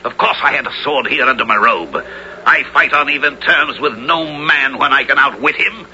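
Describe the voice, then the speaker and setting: An actor playing a scheming, villainous traitor knight in a radio drama, with theatrical archaic diction. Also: male voice, medium pitch, normal speed, pushed and bright. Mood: gloating, menacing. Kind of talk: 1970s radio drama acting. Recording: dull radio recording, faint noise.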